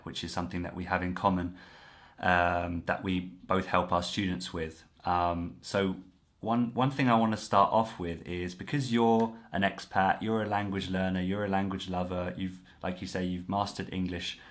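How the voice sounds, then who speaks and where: A man in his thirties hosts a chat over a video call. His voice sits low, and he speaks in a friendly way, restarting his sentences often. The sound is a plain home video-call recording. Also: fairly even intonation, normal speed. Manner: friendly, with frequent fillers and restarts. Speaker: host, French coach. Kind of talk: casual interview chat. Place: room at home, indoors.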